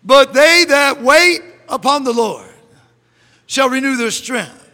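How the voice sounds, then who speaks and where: A middle-aged man preaching a sermon in a church, reading scripture and rising into fervent shouts. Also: extremely high pitch, slow pace. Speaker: pastor. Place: church sanctuary. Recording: long church hall reverb.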